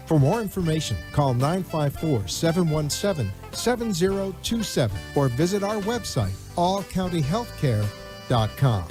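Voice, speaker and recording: A man reading a show intro and sponsor message in a polished announcer delivery. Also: fairly high pitch. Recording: announcer over loud music.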